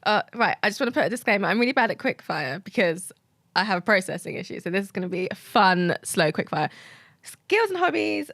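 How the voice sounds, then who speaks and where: British woman with a creaky voice. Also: mid-range voice, sing-song, a little fast, a bit bright, dry recording, clean studio sound. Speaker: podcast guest. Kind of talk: casual chat.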